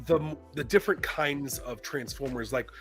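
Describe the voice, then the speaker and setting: A man with a bright, forceful voice of middling pitch, co-hosting a casual stream. He talks like an eager fan, and music plays faintly behind him. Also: normal speed. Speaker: live stream co-host.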